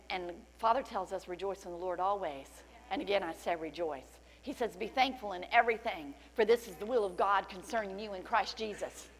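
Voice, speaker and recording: A middle-aged woman pastor preaching a sermon with fervent praise and scripture reading, her voice slightly cracking from loudness. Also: medium pitch. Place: large church stage indoors. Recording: long hall reverb, faint hum.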